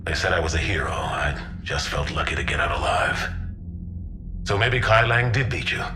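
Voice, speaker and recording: A man playing a seasoned, gruff older admiral with a blunt, frank manner in a video game. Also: low-pitched, lively intonation, normal speed, background noise. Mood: frank, consoling. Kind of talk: dramatic video game acting.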